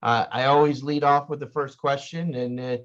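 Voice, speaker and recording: A man's voice: medium pitch, little pitch movement, moderate pace, fairly bright tone. Friendly male podcast host, lo-fi. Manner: friendly, rambling questions with fillers. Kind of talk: casual interview. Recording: dull lo-fi audio.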